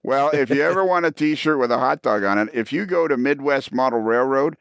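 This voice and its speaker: Guy podcast host, bit of fry in the voice, dry joker, close dry sound. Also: mid-range voice, lively intonation, brisk, fairly bright tone, no room sound. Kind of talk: casual chat.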